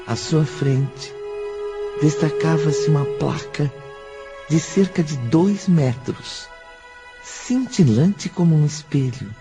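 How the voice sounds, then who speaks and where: A man's voice: on the high side, slow, over music. An actor narrating a spiritist radio drama as a solemn male storyteller.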